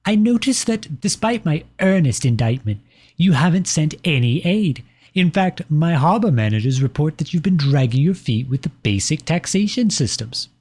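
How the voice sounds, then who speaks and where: A man's voice: on the high side, big pitch swings, moderate pace, darkish tone, slightly rough, voice pushed up. A man voicing a pompous, possessive empire personified as a cloyingly affectionate suitor in a scripted ASMR roleplay, reading out letters with sugary condescension. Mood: concerned, condescending.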